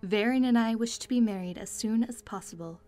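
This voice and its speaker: A woman's voice: a little high, a little fast, dark tone, faint music behind, lightly acted. A woman playing an imperious young princess in an audio drama, clipped and commanding. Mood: cold insistence.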